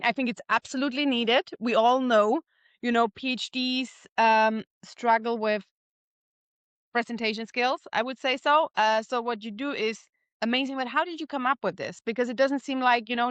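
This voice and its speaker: A woman's voice: a little high, fairly bright tone, no room sound. Woman host asking curious questions. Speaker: interview host. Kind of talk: casual interview talk. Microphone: video call.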